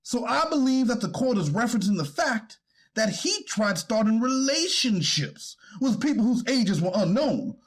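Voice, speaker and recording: A man playing a deferential defense attorney in a courtroom readers theater, formal and hesitant when challenged, with a husky voice. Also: extremely high pitch, sing-song, normal speed, exaggerated voice. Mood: earnest, pleading. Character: deferential male defense attorney pleading for his client. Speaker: actor reading a defense attorney.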